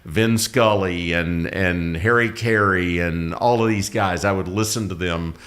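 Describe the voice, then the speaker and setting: A middle-aged man, a pastor, talking casually about ministry in a loud, carrying voice, often restarting his sentences. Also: low voice, a little slow. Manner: rambling with frequent restarts, laughs easily.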